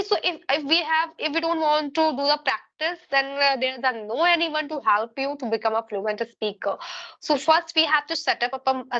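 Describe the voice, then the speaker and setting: Bright high-voiced woman on a call. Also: lo-fi sound. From India. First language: Hindi. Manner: earnest, advising, halting. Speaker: English practice partner. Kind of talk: casual practice conversation.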